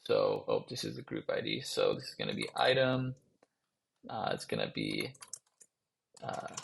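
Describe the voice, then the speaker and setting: A man presenting a software workshop, talking casually through a screen-share with many fillers, his voice getting rough after a long day of calls. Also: lowish voice, wildly swooping pitch, a little slow, slight background noise. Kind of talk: screen-share coding tutorial.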